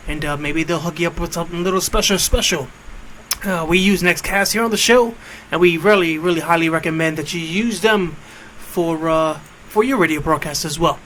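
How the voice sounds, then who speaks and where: A man in his late thirties hosting an internet radio show, talking off the cuff in a casual, halting monologue. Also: high-pitched, pushed and bright. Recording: clear background noise.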